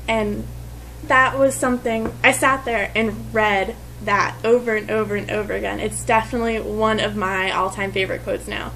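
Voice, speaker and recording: A woman's voice: mid-range voice, steady tone, normal speed, gravelly, a bit bright, a little hiss or hum, poor audio. A woman chatting casually as she reviews a book. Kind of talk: casual chat.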